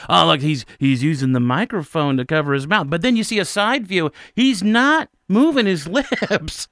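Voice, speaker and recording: A man's voice: high-pitched, normal speed, fairly bright tone, no echo. Friendly male radio interviewer, dry sound. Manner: friendly questioning with frequent laughs. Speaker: radio host.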